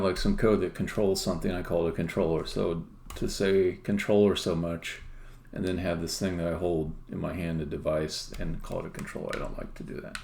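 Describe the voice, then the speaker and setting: Gravelly low-voiced man, casually rambling. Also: darkish tone. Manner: rambling, halting explanations. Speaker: game developer live-streaming. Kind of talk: casual live-coding commentary.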